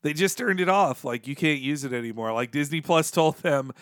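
A man's voice: fairly high pitch, lively intonation, a little fast, fairly bright tone, no echo, clean studio sound. A man chatting casually as a podcast host, talking like an opinionated fan who wanders between asides.

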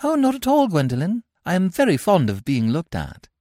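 A man putting on a high, sweetly prim young lady. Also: huge pitch swings, normal speed, mellow, dry recording, clean studio sound. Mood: sweetly eager. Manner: girlish, prim, eager to please. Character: young upper-class woman, sweetly naive ward.

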